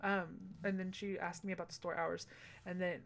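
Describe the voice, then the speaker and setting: A young man with a high-pitched voice, telling stories in a casual monologue, animated, swearing freely and tossing in sassy asides. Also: normal speed, creaky. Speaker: vlogger.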